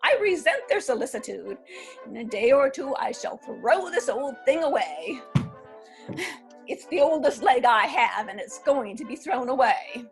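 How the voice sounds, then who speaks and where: An actress reading the part of a defiant seventy-one-year-old divorcee who laughs at old age, in a readers theatre play. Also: female voice, high-pitched, sing-song, measured pace, vocal fry, soft background music, exaggerated voice. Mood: gleeful. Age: 70s. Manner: laughing, brash and amused. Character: spirited elderly divorcee, mischievous and defiant. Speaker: actor.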